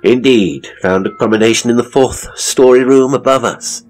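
A man's voice: medium pitch, moderate pace, soft background music, lightly acted. A man playing a hapless, seedy ghost tour guide in an audio horror story, with a British accent and a pompous, florid, leering manner. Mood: grave storytelling.

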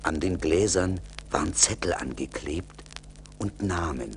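A man narrating a scripted fairy tale as a measured, dramatic storyteller. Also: low-pitched, a little hiss or hum. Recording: 1980s vinyl radio play with music.

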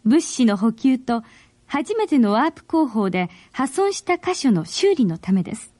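A woman narrating a radio drama in a deliberate, expressive storytelling manner, as in a 1970s broadcast. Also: on the high side, a little hiss or hum.

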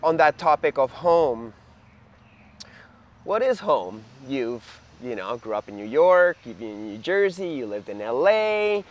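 A man's voice: medium pitch, sing-song, measured pace. A man hosting a casual, conversational interview outdoors. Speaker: interview host. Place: outdoors in a leafy backyard.

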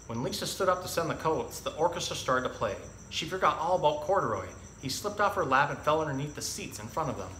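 Bright-voiced man in an echoey room. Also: fairly high pitch, lively intonation, fast talker, few pauses. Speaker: zookeeper presenting an animal storytime. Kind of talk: casual educational talk and picture-book reading for children. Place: indoor room with hard walls and tiled floor. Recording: echoey room, faint background noise.

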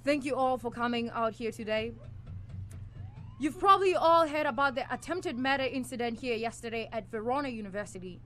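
A woman's voice: on the high side, a little fast, close to an everyday voice. A woman acting an earnest, lovestruck young male student in a live amateur radio play. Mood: rousing. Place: small college radio studio.

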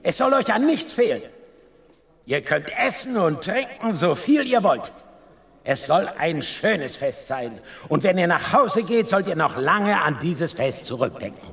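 A man's voice: high voice, big pitch swings, unhurried, vocal fry, fairly bright tone, heavily acted. Volatile, theatrical king, swooping delivery. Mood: jovial, proud. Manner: theatrical, commanding. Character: troubled, volatile king, swinging from anguish to booming festivity. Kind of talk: German Bible audio drama acting.

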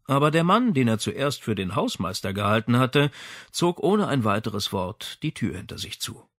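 A man's voice: medium pitch, very animated, moderate pace, no echo, studio quality. A man reading a crime novel as an audiobook narrator in scripted narration.

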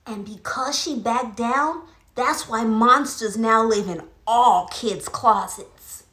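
A woman playing a mischievous little girl in a comedy skit, telling tall tales in a theatrical, drawn-out way. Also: fairly high pitch, fairly bright tone. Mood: dramatic. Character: mischievous little girl Coco, cookie-loving tall-tale teller. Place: small bedroom, indoors. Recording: roomy echo, faint music behind.

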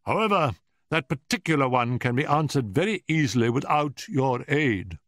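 A man's voice: mid-range voice, lively intonation, unhurried, no room sound, clean studio sound, voice pushed up. A man voicing a malicious, irascible elderly professor in an audiobook story, with a pompous, cutting manner. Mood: sharp, suspicious. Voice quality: screaming at peaks.

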